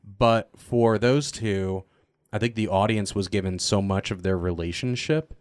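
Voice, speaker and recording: Male cast member, casual panel talk, slightly deep, blunt and wry, dry close sound. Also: unhurried, no echo.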